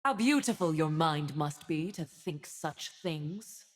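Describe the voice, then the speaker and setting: A woman's voice: deep voice, lively intonation, slow pace, exaggerated voice. A woman playing a proud, righteous winged warrior and judge in a video game, with a solemn, commanding, haughty delivery. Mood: cold disdain. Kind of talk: dramatic video game voice acting.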